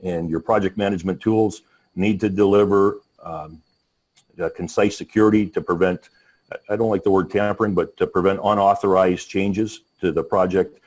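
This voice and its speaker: Deep-voiced man giving a webinar talk. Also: steady tone, darkish tone. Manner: halting, many restarts and fillers. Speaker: panel speaker. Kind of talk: webinar presentation.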